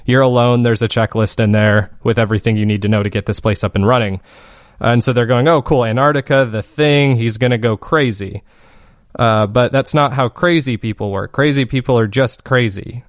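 A man's voice: mid-range voice, no echo, clean studio sound. A man speaking conversationally as an interviewed podcast creator, explaining his process in a thoughtful, casually phrased way.

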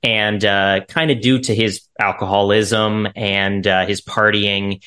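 A man's voice: fairly low pitch, flat delivery, slow, pushed and bright. A man, an actor, answering conversationally as an interview guest.

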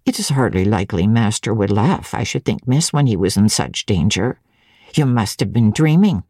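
A woman voicing a phlegmatic, guarded servant in an audiobook, composed and deliberate, probing with feigned indifference. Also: very deep voice, lively intonation, mellow, slightly rough, no echo, clean studio sound, voice pushed down, heavily acted. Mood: composed, dismissive.

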